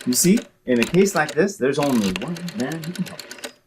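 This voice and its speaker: A man acting a breezy, streetwise, cowardly schemer in an old-time radio comedy, wisecracking in slangy speech with a husky voice. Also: fairly high pitch, animated, normal speed, background noise, close to an everyday voice. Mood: cocksure, scheming.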